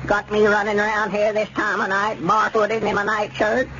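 A man's voice: high-pitched, completely flat delivery, moderate pace, sharp tone. An actor playing a sleepy, querulous old small-town man with a rural Southern accent in a radio comedy. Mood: weary complaining. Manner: querulous, dithering, complaining. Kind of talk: 1940s radio comedy acting. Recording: muffled, noisy old radio recording.

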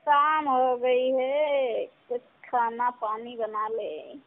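A woman's voice: a little high, drawn-out words. A woman in a casual phone conversation, answering in short, hesitant replies. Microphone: phone call. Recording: tinny phone call with background noise.

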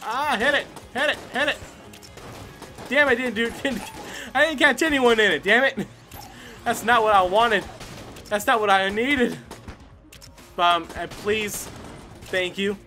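Male gaming host, bright forceful voice, lively intonation, exclamatory, sweary, casual play-by-play, music behind. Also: high voice, measured pace, pauses often. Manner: exclamatory, swearing, talking to himself and the game. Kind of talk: casual excited gameplay commentary. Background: game music.

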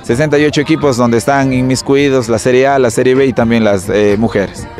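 Halting Ecuadorian man in an interview. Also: medium pitch, steady tone, darkish tone, soft background music. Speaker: tournament official being interviewed. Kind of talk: spontaneous interview answer.

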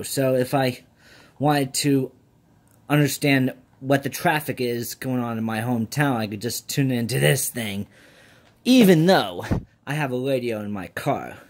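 An American man in his late twenties presenting a personal project vlog in an earnest way. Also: medium pitch. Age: late 20s. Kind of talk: vlog, part scripted narration, part casual presenting to camera.

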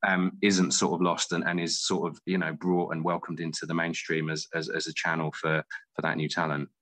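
A man speaks as a guest in a conversational interview. His voice is very low yet bright and forward. He talks thoughtfully, circling back over phrases as he works out his answer. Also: level tone, a little fast. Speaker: interview guest, playwright and theatre director.

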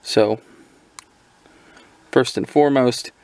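A man's voice: medium pitch, slow, darkish tone. A man giving a casual, unscripted gadget overview as a reviewer, explaining things informally. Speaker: product reviewer.

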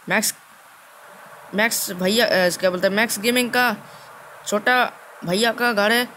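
A young man giving casual gameplay commentary as a video host, halting and repeating his phrases as he goes. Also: very high voice, bright, forceful tone. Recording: clearly noisy game capture.

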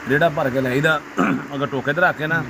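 A man's voice: fairly high pitch, normal speed, vocal fry, background noise. A middle-aged man, a farm owner, chatting in a folksy, rambling, earnest way in an outdoor farmyard. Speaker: farm owner, father of host. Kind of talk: casual farm chat.

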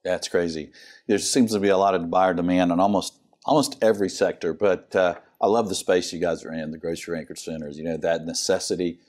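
A man's voice: sits low, steady tone, a little fast, a little mellow. An older man hosting a studio interview, asking short questions and adding brief affirmations, on a lapel mic in a TV studio. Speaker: host. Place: TV studio set.

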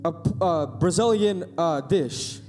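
A man's voice: high voice, lively intonation, darkish tone, faint music behind. A young man in his twenties interpreting a sermon into English phrase by phrase, with small hesitations, on a church stage with a handheld mic. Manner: echoes phrases quickly, with some hesitations. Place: church stage with projected screen.

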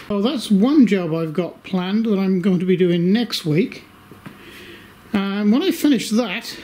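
Slow rambling older man in a workshop. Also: high voice, dark tone, slight background noise. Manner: slow, rambling, many fillers. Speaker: workshop video maker. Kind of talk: casual shop update. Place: home workshop, indoors.

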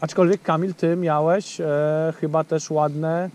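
A man's voice: fairly high pitch, level tone, takes it slowly, darkish tone. A man in his thirties hosting a casual fishing vlog from a small boat, chatting to his friend and talking straight to viewers with lots of fillers. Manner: chatty, addresses viewers directly, many fillers. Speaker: fishing channel host. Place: small boat on a lake outdoors. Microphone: action camera on the boat.

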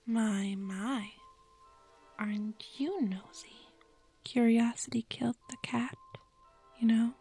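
Actress as menacing young gothic sister guarding a manor. Hushed, dark-toned, breathy whisper, taunting ASMR horror. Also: female voice, a little high, slow, long pauses, faint music behind, heavily acted. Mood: taunting, sinister.

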